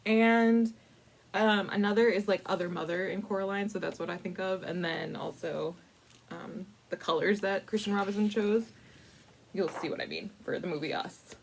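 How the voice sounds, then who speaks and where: A woman hosting a casual storytime, chatty and informal, with playful, dramatic emphasis and drawn-out words as she tells a picture-book story. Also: medium pitch, gravelly, a little hiss or hum. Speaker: librarian storytime host.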